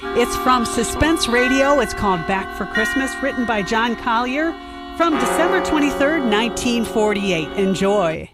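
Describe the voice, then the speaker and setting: Lady host doing a scripted intro, highish voice, music really loud behind her. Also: animated, moderate pace. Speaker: host introducing a radio play.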